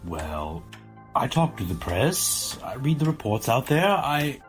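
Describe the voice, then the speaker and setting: A man playing a weary, flattering, wistful president in an audio drama. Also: medium pitch, slow pace, faint music behind. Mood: defeated, wistful. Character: weary, wine-drinking male president, flattering and maudlin.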